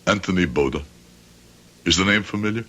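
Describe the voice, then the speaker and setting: An actor playing a grieving, vengeful shoemaker in an old radio drama, speaking formally and deliberately with cold determination. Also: male voice, sits low, pushed and bright. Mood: cold, accusing. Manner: formal, deliberate, rhetorical questioning. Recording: noisy vintage radio drama recording.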